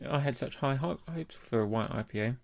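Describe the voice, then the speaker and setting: A man with an average-pitched voice speaks as a podcast co-host. He gives his opinions in relaxed, informal conversation, as in a casual review. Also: fairly quick, gravelly. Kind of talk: casual chat, beer review.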